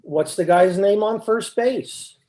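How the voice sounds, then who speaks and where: A performer acts out a confused, increasingly exasperated questioner in a stage comedy double act. The voice sits at a middle pitch and pushes insistently through each question. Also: takes it slowly, warm tone, lightly acted. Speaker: amateur readers theater performer. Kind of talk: staged comic dialogue reading.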